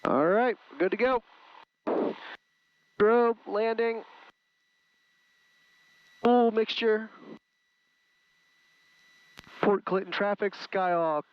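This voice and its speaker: A man's voice: high voice, slow, long pauses, clear, resonant tone. Male pilot on a muffled headset. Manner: clipped phraseology, spelled-out callsigns. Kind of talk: aviation radio calls and cockpit checklist callouts. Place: inside a small propeller plane cockpit. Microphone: aviation headset. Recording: muffled headset audio with engine noise. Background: light aircraft engine noise.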